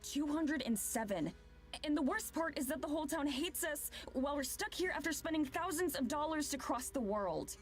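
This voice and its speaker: A woman's voice: high voice, expressive, normal speed, faint music behind. An actress voicing an irritated, skeptical young woman in a visual novel, complaining with exasperated sarcasm. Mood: exasperated, sarcastic.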